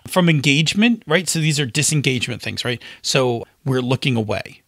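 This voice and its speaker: A man with a bright, crisp-edged voice at a middle pitch talks casually as a podcast co-host. He explains point by point in an emphatic way, checking in as he goes. The sound is close and dry. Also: lively intonation, moderate pace, no room sound. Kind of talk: casual explanatory chat about tabletop gaming.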